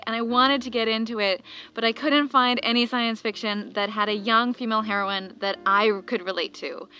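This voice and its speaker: Bright-voiced woman author over music. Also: on the high side, highs cut off. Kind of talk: conversational talk about own book. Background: background music.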